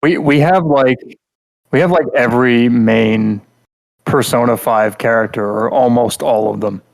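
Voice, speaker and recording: Man giving halting, rambling casual critique. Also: medium pitch, creaky, rough recording. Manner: halting, rambling, repeats words mid-thought. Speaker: podcast host reviewing game characters.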